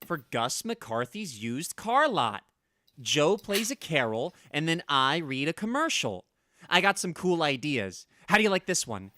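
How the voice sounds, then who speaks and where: An actor as an eager, animated teenage radio son. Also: male voice, fairly high pitch, a little slow, a little hiss or hum, full character voice. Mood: eager, pitching. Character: eager, brash 1950s teenage son, salesman-like. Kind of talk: old-time radio comedy acting.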